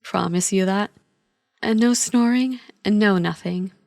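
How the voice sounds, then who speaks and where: A woman's voice: mid-range voice, measured pace, vocal fry, darkish tone, no echo, studio quality. A woman playing a friend's teasing older sister in a casual, intimate ASMR roleplay, chatty, playful and reassuring.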